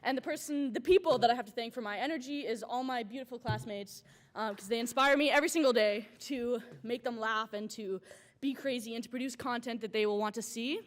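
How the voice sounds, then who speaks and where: A young woman student giving an excited, energetic acceptance speech, loud and bubbly. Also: a little high, a little fast. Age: young adult. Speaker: student award winner. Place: event hall.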